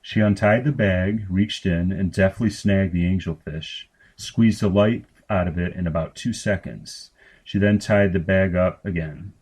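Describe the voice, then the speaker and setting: A man's voice: low voice, fairly even intonation, a little slow, darkish tone, breathy edge. A man reading scripted narration of comic grotesque fiction. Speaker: story reader.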